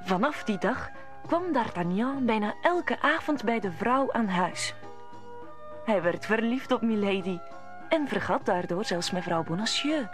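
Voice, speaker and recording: A woman's voice: mid-range voice, animated, measured pace, faint music behind. A woman narrating an old Dutch radio play in a scripted storytelling style.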